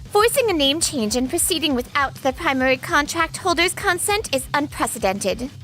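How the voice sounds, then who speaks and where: A woman's voice: sits high, sing-song, moderate pace, pushed and bright, soft background music, heavily acted. Stern, formal businesswoman played by a woman. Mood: stern, reproachful. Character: stern, formal female company president refusing clients.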